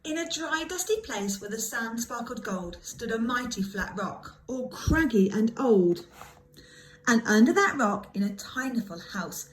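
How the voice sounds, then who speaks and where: A woman reads a picture-book story to young children with dramatic emphasis. Her voice is fairly high and very animated, over faint background noise. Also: a little slow, low-quality recording. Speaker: primary school teacher reading a story. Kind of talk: expressive children's storybook reading.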